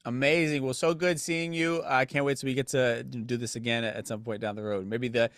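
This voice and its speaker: A man hosts a casual podcast interview, full of encouragement and quick affirmations. He stumbles and restarts his phrases as he goes. The sound is dry and close, with no room echo. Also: mid-range voice, flat delivery, a bit bright. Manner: enthusiastic, affirming, talks in restarts. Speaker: podcast host.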